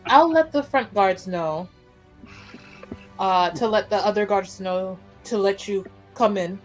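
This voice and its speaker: A woman's voice: medium pitch, slow, faint music behind, rough recording. A chatty, playful woman talking casually as a player in a tabletop roleplaying game. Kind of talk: casual improvised roleplay and narration.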